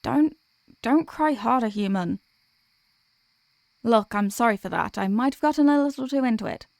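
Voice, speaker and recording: A woman acts out a female sleep paralysis demon with a fairly high voice, recorded close and dry. The creature is a teasing one, by turns menacing and tenderly apologetic, speaking intimately as in an ASMR audio drama. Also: lively intonation, moderate pace, gravelly, a little mellow, no room sound. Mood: flustered, apologetic. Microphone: close microphone, dry.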